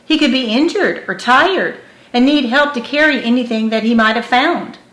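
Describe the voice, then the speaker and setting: A woman's voice: on the high side, moderate pace, fairly bright tone, voice pushed up, natural voice. A woman voicing an anxious, self-serving male caterpillar in a storybook, with a pleading, excuse-making manner. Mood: eager, excusing. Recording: roomy, echoing room.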